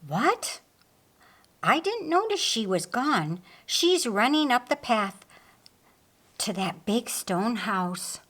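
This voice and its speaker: A woman voicing a regal, inquisitive and excitable queen in animated audiobook dialogue. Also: a little high, takes it slowly, full character voice. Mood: startled, eager. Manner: animated, exclaiming and wondering.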